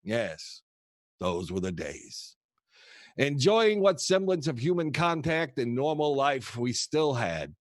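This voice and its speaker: An actor playing a kooky old grandfather who tells rambling, theatrical, halting stories to his grandchildren in a comedic audio play. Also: mid-range voice, big pitch swings, no room sound, clean studio sound. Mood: nostalgic. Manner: theatrical, wistful, halting storyteller.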